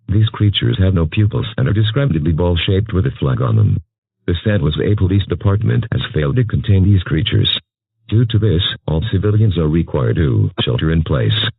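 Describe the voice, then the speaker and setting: A man announces an emergency alert in a low, gravelly voice with lively pitch movement. His reading is formal, impersonal and synthetic. It comes through a tinny, phone-like broadcast channel. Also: no room sound.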